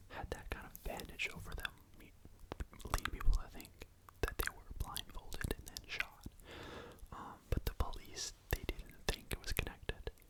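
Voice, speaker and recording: A person telling a true crime story in a breathy, unvoiced ASMR whisper, with a casual, conversational manner. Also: low-quality recording. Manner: casual, conversational retelling with repetition.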